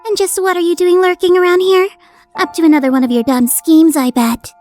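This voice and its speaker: An excitable anime schoolgirl with an extremely high voice. She is proud and emphatic, with faint music underneath. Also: fairly quick. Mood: haughty, exasperated. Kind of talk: anime fandub radio play acting.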